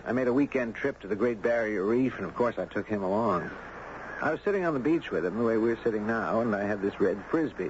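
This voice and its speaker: Man acting easygoing beach guy in a 70s radio play, measured chatty storyteller, dull sound w/ lots of hiss. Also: medium pitch, natural voice. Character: easygoing, puzzled man, beach-going dog owner and storyteller. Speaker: actor narrating and playing the lead. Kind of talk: 1970s radio drama acting. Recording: dull, noisy old radio broadcast.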